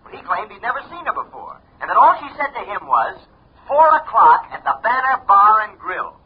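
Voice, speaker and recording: An American man playing an eager, excitable newspaper reporter in a 1950s radio drama. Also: sits high, a little fast, husky, heavily acted. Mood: eager, smitten. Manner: eager, animated. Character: eager, excitable male reporter, smitten best friend. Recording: muffled old radio, faint noise.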